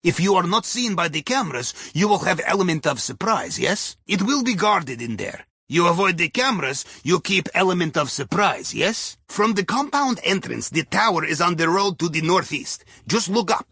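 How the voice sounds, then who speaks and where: Russian video game heist planner, wry and chatty, bright raspy voice, super dry close recording, folksy broken english. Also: male voice, high voice, very animated, normal speed, hardly any pauses, no echo, exaggerated voice. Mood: advising, cautionary. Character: wry, jovial Russian submarine captain's heist planner, male. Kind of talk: video game mission dialogue over radio.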